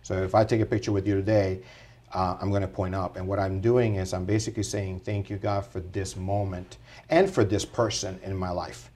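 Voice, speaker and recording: A middle-aged man from Puerto Rico, a guest on a podcast, telling his life story in an earnest, animated way. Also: deep voice, a little fast. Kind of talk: casual interview storytelling.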